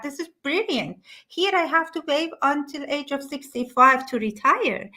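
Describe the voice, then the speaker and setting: A middle-aged woman with a high, bright, forceful voice speaks with real enthusiasm in a live online interview. She talks eagerly, backtracking and restarting her phrases as she promotes a business. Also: rough recording.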